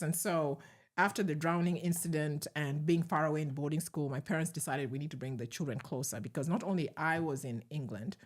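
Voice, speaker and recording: Low-voiced Ugandan-accented woman. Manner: reflective, measured, with soft laughs. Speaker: guest. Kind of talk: conversational interview storytelling.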